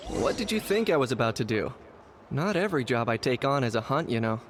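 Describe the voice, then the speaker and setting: Man voicing a blunt, mid-pitched bounty hunter. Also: big pitch swings, brisk, background noise, natural voice. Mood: dry, blunt. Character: gruff, dry-witted young male bounty hunter. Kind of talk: video game voice acting.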